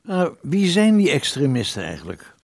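A man's voice: fairly high pitch, huge pitch swings, moderate pace, creaky, darkish tone, no room sound, clean studio sound, exaggerated voice. Man acting a formal, wronged ghostly writer. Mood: puzzled, formal. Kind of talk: radio play acting.

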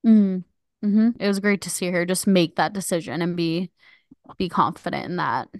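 A woman with a mellow, warm tone chats casually on a podcast, mostly as a supportive listener with short affirmations. The sound is dry, with no room echo. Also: lowish voice, normal speed. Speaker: podcast co-host. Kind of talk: casual chat.

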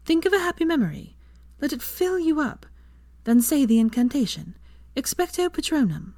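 A woman voicing an eager, bookish young witch, speaking high and gushing with encouragement. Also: big pitch swings, normal speed, a little mellow, no room sound, clean studio sound. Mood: encouraging.

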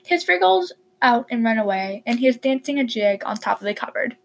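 A woman's voice: fairly high pitch, animated, brisk, creaky, a little hiss or hum. A woman reading a children's story aloud as a narrator. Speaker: story reader.

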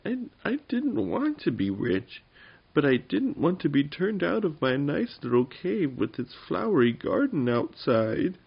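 A man's voice: on the high side, big pitch swings, unhurried, creaky, breathy edge, lo-fi sound, pitched above the performer's own voice, exaggerated voice. A man voicing a timid, childlike little fat male goblin in a whining, plaintive way for a storybook. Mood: plaintive, aggrieved.

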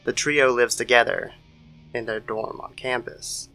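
A man reading a scripted dark story as narrator, in the manner of an old-time radio show. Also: mid-range voice, measured pace, vocal fry, low-quality recording. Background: soft guitar music.

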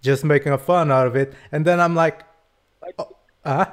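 A man's voice: a little high, fairly even intonation, moderate pace. A young man hosting a podcast, laid-back and loose, chatting casually with his guest. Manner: laid-back, laughing along, loose and crude. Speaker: podcast host.

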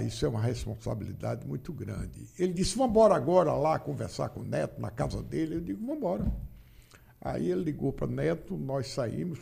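A man's voice: a little high, creaky, mellow, a little hiss or hum. A man in his seventies, a politician guest in a studio podcast interview, telling anecdotes and acting out the dialogue in them, often repeating his phrases. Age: 70s. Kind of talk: casual interview storytelling.

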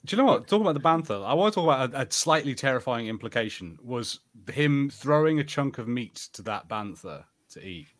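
A man's voice: mid-range voice, big pitch swings, fast talker, few pauses. A man co-hosting a podcast, talking casually and thinking aloud as a fan. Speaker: podcast co-host.

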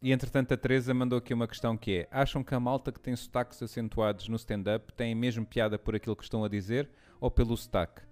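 Smooth-voiced Lisbon-area man, casual banter. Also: mid-range voice, flat delivery, fast, few pauses, darkish tone. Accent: Lisbon-area European Portuguese. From Lisbon area, Portugal. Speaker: stand-up comedian, talk co-host.

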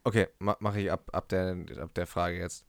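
A young man co-hosting a casual podcast chat in German, halting and restarting often and laughing easily. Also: sits low, little pitch movement, smooth, no echo. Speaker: podcast co-host.

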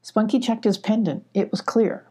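Lady reading a kids audiobook, soft dark voice, kinda husky. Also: medium pitch, fairly even intonation, moderate pace. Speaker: audiobook narrator. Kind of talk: children's story narration.

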